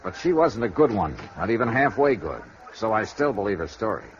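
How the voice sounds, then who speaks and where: Dry-witted hardboiled investigator, lively delivery, muffled old radio sound. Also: male voice, fairly low pitch, a little fast. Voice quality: dry, wry, easygoing. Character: wry, tough adult male insurance investigator. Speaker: insurance investigator and narrator. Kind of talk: 1950s radio noir narration. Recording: dull old radio broadcast.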